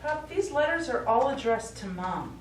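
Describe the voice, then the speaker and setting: Distant and echoey on a theatre stage, a woman plays a worried middle-aged daughter. Her voice is fairly high as she pleads with her old father. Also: animated, moderate pace. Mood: questioning. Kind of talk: live stage play acting. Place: small indoor theatre stage. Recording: echoey theatre, distant mic, faint noise.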